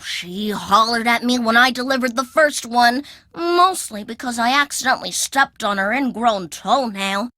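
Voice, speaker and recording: High, bright, chirpy little girl. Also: normal speed, no echo. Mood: earnest, coaxing. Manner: sugary, gushing, pleading. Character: chirpy, eager-to-please ten-year-old farm girl. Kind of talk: video game character dialogue.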